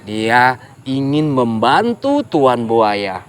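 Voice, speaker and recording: A man telling a folk tale to children in a lively way, speaking to young listeners directly. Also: mid-range voice, extremely slow, a little hiss or hum. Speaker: storyteller.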